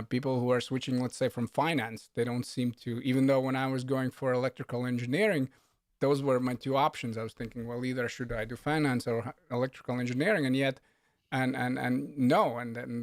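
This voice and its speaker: A man hosting a casual livestream in a halting, rambling way with frequent restarts, sounding matter-of-fact and unsmiling. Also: medium pitch, no room sound, clean studio sound. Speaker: livestream host, coding instructor.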